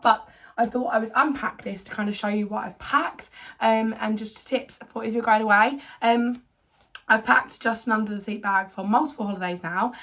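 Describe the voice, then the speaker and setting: A young woman talks chattily to a camera on a tripod at home, her voice hoarse as she gets over a cold. She rambles along with plenty of ums and likes, in the loose tone of a casual vlog. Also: mid-range voice, fairly bright tone, slight background noise. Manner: chatty, rambling, filler-heavy. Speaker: vlogger.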